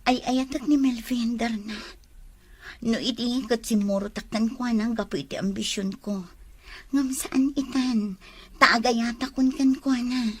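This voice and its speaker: A lovelorn young rural homemaker from an audio drama , acted by a woman. Her voice sits fairly high with a breathy, husky edge. She speaks with an Ilocano accent, tender and plaintive, as someone long-suffering in love. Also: steady tone, unhurried. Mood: sad, confiding.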